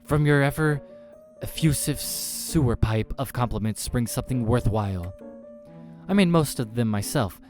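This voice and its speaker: A man's voice: mid-range voice, expressive, moderate pace, darkish tone, soft background music, voice pushed up, lightly acted. A man voicing a haughty, menacing female eldritch being in a visual novel, speaking in commanding, formal phrases. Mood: smug disdain.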